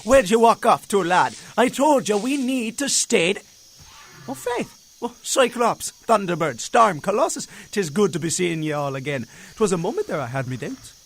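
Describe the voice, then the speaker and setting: Man playing a high, lilting Irish hero. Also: big pitch swings, moderate pace, creaky, heavily acted. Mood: relieved. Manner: lilting, folksy. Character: chatty, folksy, cautious Irish hero man. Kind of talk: fan audio drama acting.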